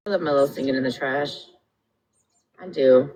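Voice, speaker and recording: A woman's voice: rumbling low, moderate pace, darkish tone, a little hiss or hum, low-quality recording. A woman in a casual live-stream chat, blunt and sassy, who swears a lot.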